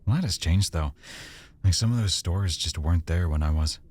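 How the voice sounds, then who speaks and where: A man playing an affectionate, easygoing boyfriend in an intimate roleplay, speaking casually and softly with hesitant pauses. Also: deep voice, breathy edge. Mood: casual, nostalgic. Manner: soft-spoken, casual, hesitant pauses and trailing thoughts. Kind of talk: boyfriend roleplay ASMR, one-sided intimate conversation.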